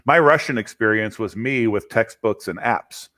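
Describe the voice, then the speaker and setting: A man hosting a relaxed interview, telling a personal story about learning languages in a chatty, animated way. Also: on the low side, normal speed. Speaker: interview host. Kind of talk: casual interview conversation.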